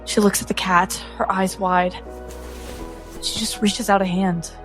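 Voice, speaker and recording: A woman's voice: mid-range voice, flat delivery, unhurried, faint music behind. A woman playing a tabletop game, improvising narration of what her character does. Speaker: tabletop roleplay player.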